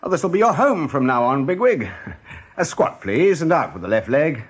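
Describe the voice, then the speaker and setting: An actor playing a brisk rabbit captain in a radio play, commanding, mockingly jovial and husky. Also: male voice, fairly high pitch, sing-song. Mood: jovially commanding. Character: brisk male rabbit captain, genial yet menacing officer. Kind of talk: radio drama acting.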